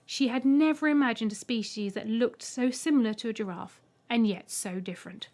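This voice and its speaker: A woman's voice: a little high, fairly quick, darkish tone. A woman reading a scripted short story aloud as an audiobook narrator.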